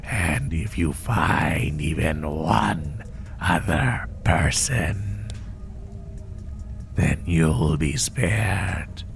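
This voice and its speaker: A man voicing a sadistic spider monster in a horror roleplay, taunting the listener with menace in a breathy, gravelly half-whisper. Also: rumbling low, faint music behind, heavily acted. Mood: taunting threat. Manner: slow, taunting, menacing, drawn-out phrases. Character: sadistic male spider monster hunting prey through the woods.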